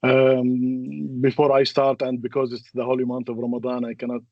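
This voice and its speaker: A man, a native Arabic speaker, moderating a webinar and introducing speakers in a halting, hesitant way. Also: mid-range voice, monotone, dry recording, muffled recording.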